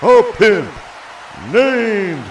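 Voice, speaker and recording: A grandiose male ring announcer, acted out, high and very slow, with showy drawn-out calls. He sounds set back from the mic amid clear noise. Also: big pitch swings, recorded from a distance, muffled recording, crowd noise, full character voice. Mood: rousing.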